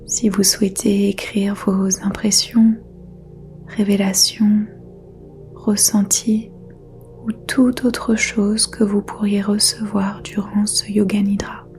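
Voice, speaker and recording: A woman whispers a guided meditation in a soft, mellow hush. She speaks slowly, in an even, barely rising tone. Faint music plays underneath. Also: mid-range voice. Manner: whispered throughout, soft and unhurried. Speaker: yoga nidra teacher.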